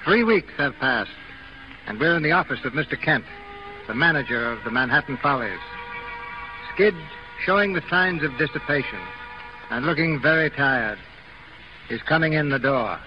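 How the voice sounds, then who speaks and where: Old time radio guy narrator, mid pitch, music behind him, dull muffled sound. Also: lively intonation, normal speed, pauses often. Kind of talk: scripted 1930s radio narration. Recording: muffled 1930s radio broadcast over music.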